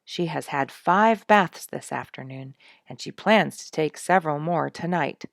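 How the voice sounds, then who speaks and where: A woman's voice: low-pitched, unhurried, vocal fry, dry recording, clean studio sound. A woman voicing a rueful, dramatic father in a storybook, weary and emphatic as he recounts his troubles. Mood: resigned.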